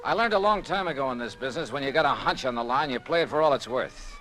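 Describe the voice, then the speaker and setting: A man's voice: medium pitch, animated, fast talker, fairly bright tone, soft background music, close to an everyday voice. An American man acting a shrewd, brash investigator in a 1950s radio detective drama, with a wry, clipped, hard-boiled manner. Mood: wry, confident. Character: shrewd, brash male insurance investigator narrating his case. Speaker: lead actor and narrator of a detective serial. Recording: noisy vintage 1950s radio recording.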